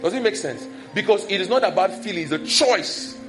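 A male preacher speaks in a relaxed, conversational way, mixing teaching with personal anecdotes. His voice sits fairly high for a man. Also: brisk, music in the background. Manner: conversational, telling personal stories. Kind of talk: sermon.

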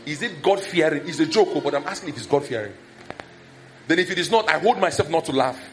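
A man's voice: fairly high pitch, animated, fast, slight background noise. A man preaching a conversational sermon that is full of personal stories.

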